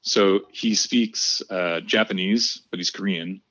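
Young Californian man, low voice, rambly storyteller in a laid-back chat. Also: steady tone, slow, bright, forceful tone, no room sound, lo-fi sound. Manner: rambling storyteller, heavy "like" and "dude", laughs often. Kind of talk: casual chat.

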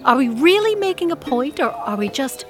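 A woman's voice: high-pitched, very animated, moderate pace, music in the background. A woman playing a weary, sardonic witch leader in an audio comedy, speaking in a dry, exasperated, theatrical way. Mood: weary ranting.

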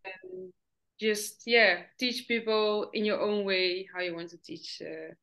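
Slow, hesitant woman. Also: medium pitch, expressive, gravelly, fairly bright tone, muffled recording. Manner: hesitant, many ums and uhs. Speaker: podcast host. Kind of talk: interview questions in English as a second language.

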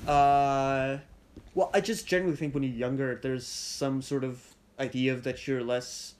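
A man's voice: medium pitch, steady tone, measured pace. A young man from Slovenia, a podcast guest, talking casually with rambling sentences and false starts. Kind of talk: casual conversation.

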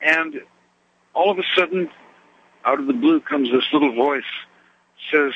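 A man, a truck driver, calling in to a radio show and telling a story in a genteel, lively way, with little laughs. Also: fairly high pitch, measured pace, creaky, breathy edge, dull audio. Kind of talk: telling a story on a phone call-in.

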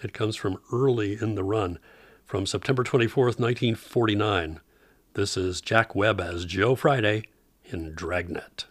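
Male radio program host: low, measured, scripted introductions, very dry acoustic. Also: no echo.

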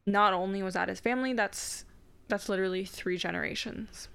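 Woman on a podcast, gravelly but bright tone, says "like" a lot, thoughtful. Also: mid-range voice, fairly quick. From Canada. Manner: heavy use of "like", earnest and reflective. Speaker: podcast co-host. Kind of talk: casual podcast discussion.

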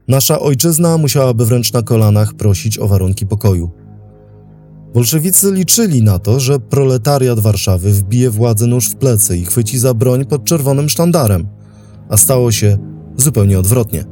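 Mellow male documentary narrator, roomless dry sound. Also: medium pitch, no echo. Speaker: host and narrator of a history podcast. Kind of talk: scripted historical documentary narration.

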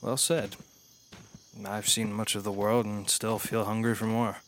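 An actor playing a calm, philosophical, long-lived gentleman in a radio drama, speaking in formal, measured phrases. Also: fairly low pitch, slight background noise. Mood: reflective. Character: eloquent, philosophical ageless gentleman, calm and earnest.

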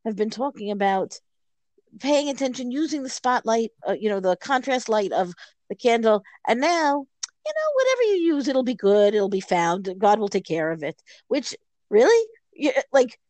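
A woman in a study discussion meets her partner's point with a playful challenge. Her voice sits somewhat high, and the recording is dry and close. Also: big pitch swings, normal speed, a little mellow, dry recording. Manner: playful challenging back-and-forth. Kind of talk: casual Talmud study discussion.

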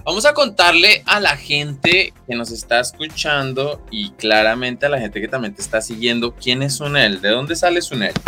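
A man hosting a podcast interview, upbeat and animated in his questions. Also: mid-range voice, normal speed, hardly any pauses, soft background music. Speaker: podcast host.

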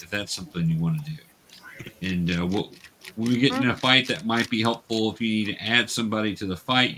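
A man's voice: medium pitch, bright, forceful tone, a little hiss or hum. A man explaining and narrating a tabletop game as game master, casually and patiently.

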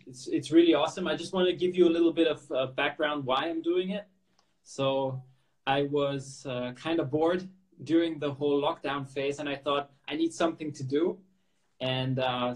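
An upbeat man hosts a podcast interview over a video call. He sounds friendly and tends to repeat his words. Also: fairly high pitch. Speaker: podcast host. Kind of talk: casual interview hosting.

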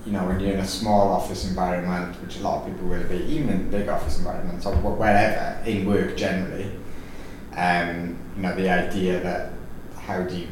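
Low-voiced Welsh man, rambling. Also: flat delivery, a little fast. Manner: rambling, restarts, many fillers. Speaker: podcast host. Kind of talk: casual chat. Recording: echoey room, distant mic, faint noise.

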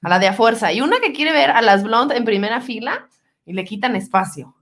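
A woman with a Mexican accent co-hosts a podcast in a fairly high voice. Her intonation swings widely as she chats and tells stories in colloquial, animated speech. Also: fairly quick. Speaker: podcast co-host. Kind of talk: casual chat and storytelling.

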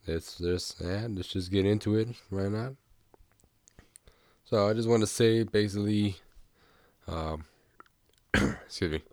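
A young man hosting a casual podcast, sick, who rambles easily and keeps clearing his throat. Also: sits low, no room sound, studio quality. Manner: relaxed, rambling, with frequent throat clearing and small laughs. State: sick, voice a bit off. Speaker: podcast host. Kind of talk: casual chat about music news.

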